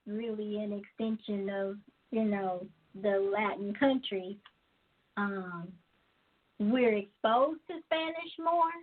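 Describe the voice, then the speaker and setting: A woman in her forties, a guest on an interview podcast, answering in a thoughtful, easygoing way and laughing often. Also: mid-range voice. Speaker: guest, actress and musician. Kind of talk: casual interview. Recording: tinny phone-line sound.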